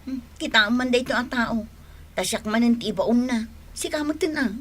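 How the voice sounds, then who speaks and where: An actress playing a chatty, forthright village neighbour in a radio drama, with a brisk manner and an Ilocano accent. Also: female voice, a little high, slightly rough. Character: pushy, chatty village neighbour woman asking favours.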